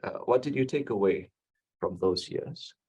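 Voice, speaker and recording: A man hosting an interview, a law professor asking polite, measured questions. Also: on the low side, expressive, darkish tone, slightly rough, lo-fi sound.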